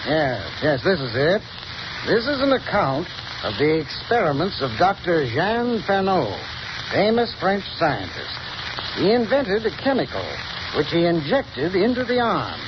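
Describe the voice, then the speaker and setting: An actor playing a scholarly male professor in a 1930s radio drama, explaining in a measured, lecturing manner. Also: sits high, sing-song. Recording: muffled 1930s radio broadcast with hiss.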